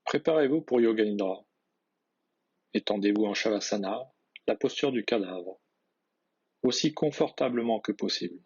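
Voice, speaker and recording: A man guiding a yoga nidra relaxation, reading measured instructions in a husky voice with soft phrase endings. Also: lowish voice, animated, muffled recording. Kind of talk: guided relaxation meditation, read instructions.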